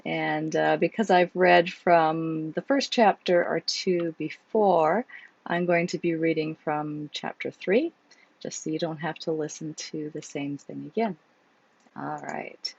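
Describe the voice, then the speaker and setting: Hoarse woman with a cold reading aloud. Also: sits low, slow pace, darkish tone, no room sound, highs cut off. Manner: chatty, with fillers before reading. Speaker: author reading her own novel. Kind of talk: casual chat, then book reading.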